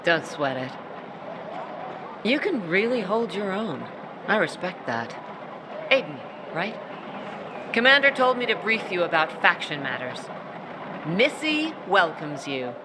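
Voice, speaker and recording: Tough soldier woman,, blunt and brisk, noise behind. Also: lowish voice, very animated. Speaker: military officer briefing a newcomer. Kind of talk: video game cutscene acting.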